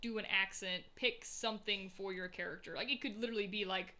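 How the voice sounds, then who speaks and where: A woman with a Southern accent, co-hosting a casual tabletop-gaming podcast and talking in a chatty, animated way. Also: mid-range voice, fairly quick. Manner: chatty, many "like" fillers, animated storytelling. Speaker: podcast co-host. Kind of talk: casual chat.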